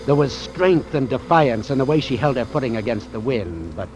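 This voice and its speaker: A man's voice: medium pitch, normal speed, a little hiss or hum, natural voice. An American man acting a wary, wry doctor who narrates an old-time radio suspense drama in a terse, hard-boiled manner. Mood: tense, brooding. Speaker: actor playing the narrator and lead. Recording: vintage radio drama with music underneath.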